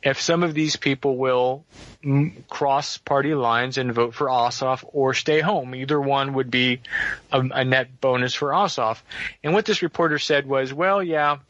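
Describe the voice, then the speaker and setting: A man's voice: medium pitch, unhurried, a bit bright. A man giving rambling, sardonic political commentary on a talk radio show. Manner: wry, rambling, sardonic asides. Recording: dull lo-fi radio audio.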